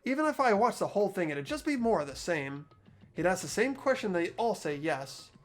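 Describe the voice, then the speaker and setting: An earnest teenage hero voiced by a man narrates his thoughts in a lively, expressive voice that rises and falls. His tone is anxious but determined, and he keeps to an everyday pitch. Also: brisk, soft background music. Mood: exasperated. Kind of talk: fan voice acting of a game.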